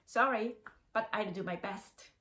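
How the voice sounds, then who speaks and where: A woman's voice: mid-range voice, unhurried, sharp tone. An older Japanese woman with a Japanese accent, a vlogger speaking careful, simple English in short phrases as she guides viewers. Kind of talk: travel vlog guide. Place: room at home with a bookcase.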